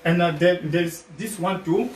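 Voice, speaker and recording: A man from Cameroon with a Cameroonian accent presents products informally, in a halting way that repeats and rephrases as he goes. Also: sits high. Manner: halting, repetitive, rephrasing phrases several times. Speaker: health product sales partner, guest presenter. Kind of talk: informal livestream product pitch. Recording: echoey, noisy, distant livestream mic.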